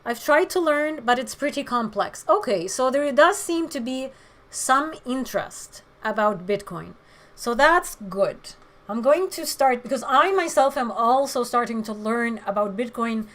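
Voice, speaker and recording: A woman with a hoarse voice, chatting frankly with viewers during a livestream and giving her opinions with many pauses. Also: fairly high pitch, expressive, fairly bright tone. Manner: frank, opinionated, hesitant fillers. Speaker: livestream host. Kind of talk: casual chat answering viewer comments.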